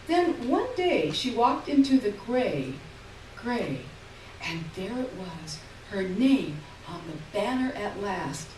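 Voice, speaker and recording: A woman reading literary fiction aloud on stage in a lyrical, measured way, heard from far off in an echoey hall. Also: medium pitch, very animated, a little mellow, slight background noise. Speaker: reader. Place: theater stage.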